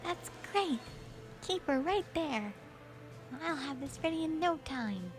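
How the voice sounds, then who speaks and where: A woman voicing an excitable, feisty young witch girl in an audio roleplay, with a girlish, eager manner. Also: slow pace, music in the background. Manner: girlish, high, eager and indignant.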